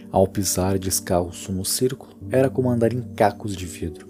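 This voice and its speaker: A Brazilian man narrating a scripted horror audio drama. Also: lowish voice. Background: music.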